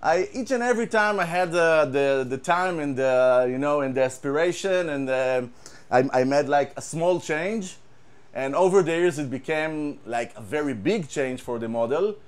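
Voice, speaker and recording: Israeli-accented man, halting casual talk. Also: on the high side, clean tone. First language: Hebrew. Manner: halting, repeats words, many fillers. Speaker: guitar tech. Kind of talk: casual show-and-tell chat.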